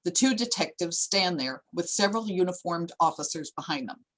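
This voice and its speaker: A woman's voice: on the low side, expressive, fairly quick, poor audio. A woman reading screenplay stage directions as the narrator of a table read.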